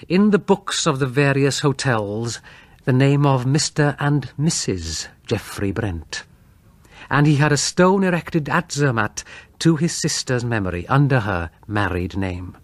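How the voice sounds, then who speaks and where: A man narrating a Gothic tale as an audiobook, theatrical and deliberate in his phrasing. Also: medium pitch, no echo.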